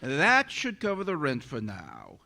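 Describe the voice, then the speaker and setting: An actor playing an arrogant, irritable scientist in a radio drama, with formal, pompous, melodramatic diction. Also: male voice, a little high, huge pitch swings, measured pace, creaky, bright, forceful tone, slight background noise, full character voice. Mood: curt, businesslike. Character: arrogant, irritable male scientist, secretive and obsessed. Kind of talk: theatrical radio drama acting, journal narration.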